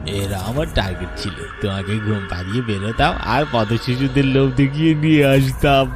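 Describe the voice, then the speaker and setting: A man voicing a young husband who is secretly a cannibal, gloating over his crimes in an animated horror story. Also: mid-range voice, normal speed, lightly acted. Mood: cold. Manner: gloating, then pleading confessions. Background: strong background music.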